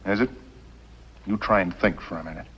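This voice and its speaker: An American actor playing a cool, calculating villain in a 1950s TV western, speaking deliberately and prodding with leading questions. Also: male voice, deep voice, slightly rough. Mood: cool scheming. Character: cool, calculating male villain plotting murder, smugly patient. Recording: dull old 1950s television soundtrack.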